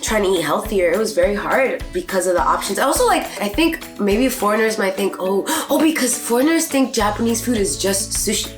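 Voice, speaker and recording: A woman's voice: medium pitch, fairly quick, few pauses. A young woman from New York with a New York American accent, chatting casually as an interview guest and English teacher, filling her talk with "like". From New York, USA. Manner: chatty, lots of "like", animated. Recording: roomy echo, music underneath.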